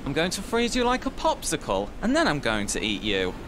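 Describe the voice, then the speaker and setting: A man voicing an evil, snarling snowman in a radio play, with a low menacing growl. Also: huge pitch swings, a little fast. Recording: radio play with clear background noise.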